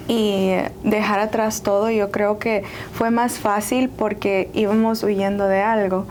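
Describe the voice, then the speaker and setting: A woman's voice: medium pitch, takes it slowly, darkish tone. Salvadoran woman guest, writer and life coach, reflective storyteller, slight background hum. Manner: earnest, reflective, occasional laugh. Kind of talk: personal storytelling in interview.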